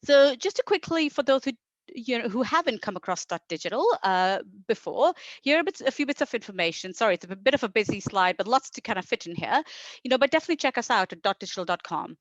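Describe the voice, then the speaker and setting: A woman's voice: fairly high pitch, lively intonation, fast talker, dry recording. Female presenter from India, middle-aged, informal and keen conference delivery, close dry sound, slightly lo-fi. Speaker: marketing executive, guest presenter. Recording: dull, lo-fi online presentation audio.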